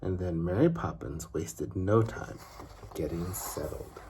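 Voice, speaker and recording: A man narrating a children's story expressively, with deliberate phrasing and dramatic pauses. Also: low-pitched, dark tone, a little hiss or hum.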